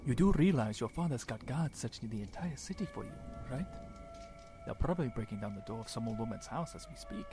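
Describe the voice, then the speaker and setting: A man's voice: mid-range voice, animated, brisk, music in the background, close to an everyday voice. A young man acting a playful, loyal friend of a prince who likes to tease, in an audio drama. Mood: teasing. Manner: teasing, playful.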